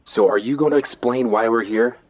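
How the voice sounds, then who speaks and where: A man's voice: mid-range voice, brisk, natural voice. An actor playing a cocky male billionaire inventor with a sardonic, dismissive manner.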